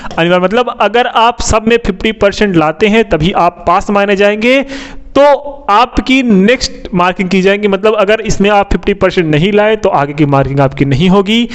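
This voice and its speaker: A man's voice: high voice, normal speed. A man teaching in an informal lecture style, repeating key points, asking questions and answering them himself, often adding tag questions. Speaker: exam coaching teacher. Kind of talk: informal explanatory lecture on an exam syllabus.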